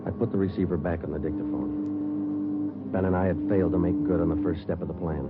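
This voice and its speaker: An actor playing a terse, deadpan police detective who also narrates a 1950s radio drama in documentary style. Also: male voice, sits low, a little fast, gravelly, loud music behind the voice, heavily acted. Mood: matter-of-fact. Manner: clipped, deadpan. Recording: muffled narrow old radio recording.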